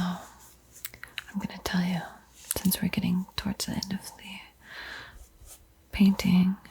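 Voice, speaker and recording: A woman hosting an ASMR art tutorial in a soft, breathy whisper, gentle and unhurried, with frequent pauses. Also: lowish voice, rough recording. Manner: slow, gentle, pausing often.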